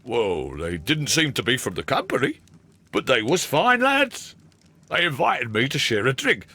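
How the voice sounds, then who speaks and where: An actor playing a cheerful, rustic railway worker in a video game, husky and gravelly, with folksy, colloquial, rambling speech. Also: male voice, mid-range voice, very animated, unhurried, sharp tone, full character voice. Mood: chatty. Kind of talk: video game character acting.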